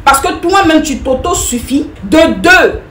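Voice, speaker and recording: A woman's voice: sits high, lively intonation, measured pace, fairly bright tone. A woman, giving direct advice to viewers in an emphatic, repetitive way. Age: 30s. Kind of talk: direct-to-camera opinion talk. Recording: echoey room with faint noise.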